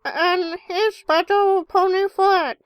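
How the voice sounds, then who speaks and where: A woman's voice: very high-pitched, fairly even intonation, takes it slowly, poor audio. A woman voicing an elderly country grandmother pony who is caring and folksy, in an audio drama. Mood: earnest. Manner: folksy rural phrasing.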